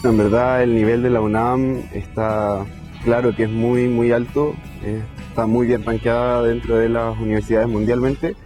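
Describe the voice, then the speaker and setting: A man's voice: mid-range voice, flat delivery, moderate pace, warm tone, faint music behind. A man from Chile with a Chilean accent, a student giving an interview testimonial with frequent fillers. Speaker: graduate student.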